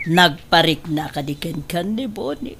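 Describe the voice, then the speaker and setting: A woman's voice: fairly low pitch, expressive, measured pace, noisy background. A woman acting a stern middle-aged mother in an audio drama, with a Filipino accent. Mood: scolding. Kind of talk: Ilocano radio drama acting.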